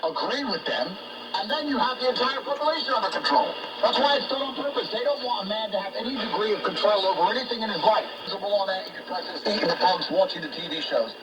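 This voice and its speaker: A man's voice: high-pitched, animated, fast, over a noisy crowd. A man talking politics as a guest on a talk show, in an emphatic, ranting manner, heard over shortwave radio. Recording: noisy shortwave radio broadcast, distant.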